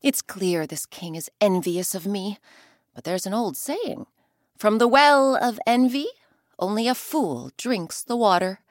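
A woman doing a clever, wise village woman from a folk tale. She speaks calmly and quietly, unhurried, with a melodic lift and fall. The sound is dry and close. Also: medium pitch, dry recording, close to an everyday voice. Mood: pondering.

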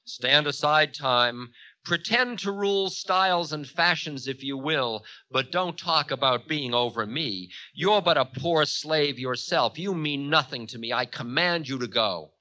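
A man's voice: fairly high pitch, lively intonation, measured pace, bright, forceful tone, no echo, pitched above the performer's own voice. A man voicing a mocking, fearless demon king who makes scornful, grandiose declarations in a mythological story. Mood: commanding.

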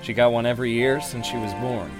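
A man playing a hesitant, guilt-ridden widowed husband confiding in an audio drama, with halting delivery. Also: mid-range voice, fairly even intonation, close to an everyday voice, rough recording. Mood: wistful, sad. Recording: background music under the voice.

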